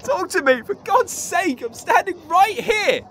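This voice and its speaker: A man's voice: very high-pitched, very animated, a little slow, fairly bright tone, a little hiss or hum, heavily acted. A man playing an anxious, easily rattled young exchange student in a radio drama, exclamatory and agitated. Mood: desperate. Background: music.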